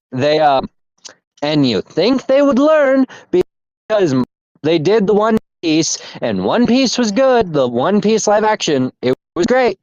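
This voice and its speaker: A man's voice: high voice, a little mellow, no room sound. A young man in casual chat as podcast co-host, rambling with restarts and laughter. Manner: rambling, laughing, self-interrupting. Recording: dull lo-fi mic.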